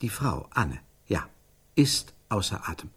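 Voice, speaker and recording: A man's voice: low voice, sing-song, a little slow, fairly bright tone, slightly rough, heavily acted. An actor playing an intense scriptwriter who improvises a melodramatic scene in a stylised radio play, searching for the words as he narrates. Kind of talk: stylised radio drama acting.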